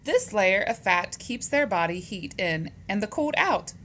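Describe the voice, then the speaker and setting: A woman's voice: medium pitch, lively intonation, a little slow, fairly bright tone. A woman reading a children's book aloud, speaking to young listeners in a question-and-answer manner, on a noisy recording.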